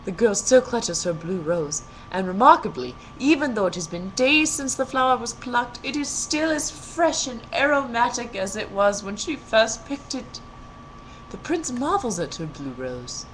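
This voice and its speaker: A woman's voice: on the high side, expressive, a little slow, slight background noise, poor audio. A woman storyteller reading a fairy tale aloud in a playful, theatrical way, with casual humor.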